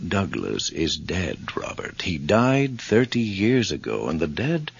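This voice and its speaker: An analytical psychiatrist in an audio drama, explaining things with professional calm. His gravelly, low voice moves through lively rises and falls. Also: takes it slowly, lo-fi sound, heavily acted. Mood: reassuring.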